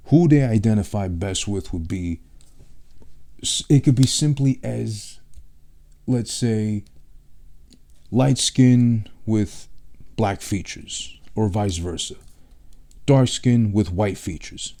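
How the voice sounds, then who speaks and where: A man's voice: fairly low pitch, mellow. Blunt, profane man with a New York accent. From Peru. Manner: rambling, thinking aloud. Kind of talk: casual monologue.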